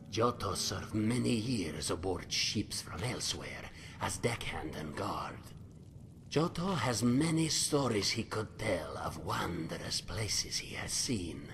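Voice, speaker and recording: Cat-man ex-sailor, simple and wistful, game dialogue, broken English, unhurried and lively in pitch, music behind. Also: full character voice. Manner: broken English, speaks of himself in third person. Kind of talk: video game character dialogue.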